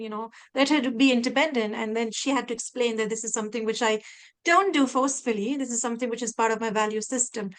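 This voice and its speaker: Woman panelist from Pakistan, clear resonant voice, slightly high, earnest video-call delivery. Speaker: executive coach.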